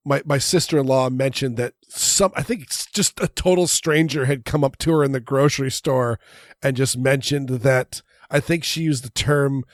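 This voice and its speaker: A nasal-voiced man chats casually on his podcast, a little stuffed up and quick to laugh between phrases. His voice sits mid-range, recorded close and dry with no room sound. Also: medium pitch, dry recording. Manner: chatty, laughs between phrases, frequent restarts. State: slightly stuffy nose. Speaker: podcast host. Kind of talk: casual chat.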